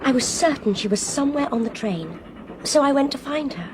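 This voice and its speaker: A woman's voice: sits high, expressive, a little fast. An actress playing a desperate, earnest young woman with an English accent in a radio drama. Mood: weary recounting. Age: young adult. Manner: earnest, emphatic. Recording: clear train noise behind.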